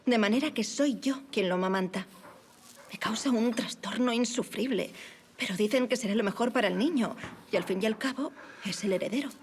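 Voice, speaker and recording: Woman acting high-voiced, playful young marquise. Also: brisk, a little mellow, slight background noise, close to an everyday voice. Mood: breezy. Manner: poised, playful, teasing. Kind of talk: audio drama acting.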